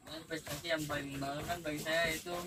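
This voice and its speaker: A man's voice: on the high side, level tone, quick pace. A young man from Maluku giving brief interview answers as an athlete. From Ambon, Maluku. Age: young adult. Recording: distant mic, noisy ambience with birds.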